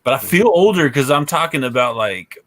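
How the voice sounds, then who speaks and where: A man's voice: fairly high pitch, lively intonation, fairly quick, a bit bright, slight background noise. A man in his forties from rural Oklahoma, co-hosting a podcast and telling laid-back stories in casual chat. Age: 40s. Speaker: podcast co-host.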